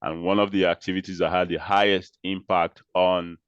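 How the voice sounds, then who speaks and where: A man from Nigeria speaks with a very low, smooth and resonant voice, laying out his story in a measured, explanatory way. The sound is dry and a little dull, as over a video call. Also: flat delivery, no room sound. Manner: measured, explanatory, with frequent pauses and restarts. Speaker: interview guest, social entrepreneur. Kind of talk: conversational interview answers.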